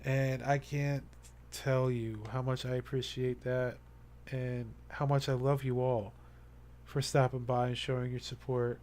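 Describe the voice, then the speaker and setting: A young man talks casually to the viewers of his live stream. He rambles earnestly, stopping to restart his sentences and leaning on fillers. His voice sits at an ordinary middle pitch for a man. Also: level tone, unhurried, darkish tone. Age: young adult.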